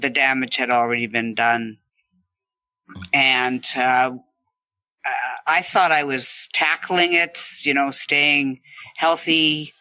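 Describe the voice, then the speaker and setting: A man telling an interviewer about his stroke in a relaxed, conversational way, laughing now and then. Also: medium pitch, clean tone, no echo. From Canada. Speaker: stroke survivor guest.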